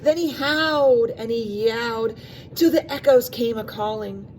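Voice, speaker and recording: A woman with a slightly high voice reads a children's storybook at a slow, measured pace. She performs the narration expressively, drawing out sound words and speaking aside to the young listeners. There is faint background noise. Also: darkish tone, poor audio. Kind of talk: expressive children's read-aloud.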